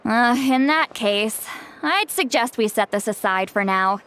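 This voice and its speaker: A woman voicing a confident, warm-hearted female leader in a video game, reassuring and measured. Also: fairly high pitch, lively intonation, clean tone, poor audio.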